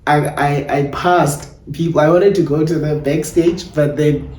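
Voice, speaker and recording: A South African man talking reflectively in an interview, hesitant and animated, often repeating himself. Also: fairly high pitch, a little slow. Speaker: playwright and director being interviewed. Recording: roomy, distant mic with faint noise.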